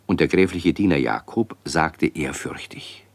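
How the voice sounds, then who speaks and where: A man narrates a children's story in a low voice. His pitch moves expressively, and the sound is dry and close. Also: lively intonation, measured pace, creaky, dry recording.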